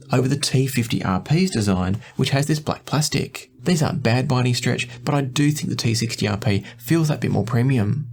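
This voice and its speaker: Male review host, medium pitch, measured and explanatory presenting style. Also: a little mellow, a little hiss or hum. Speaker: host of audio review channel. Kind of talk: presenting a product review to camera.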